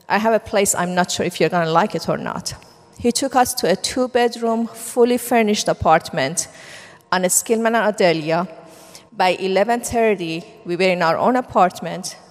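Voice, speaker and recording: A middle-aged woman with a Persian accent speaks at a medium pitch, telling her life story in an earnest, unhurried way with frequent "um"s. Her voice rings with the long reverb of a large hall. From Iran. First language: Farsi. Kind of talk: personal testimony talk.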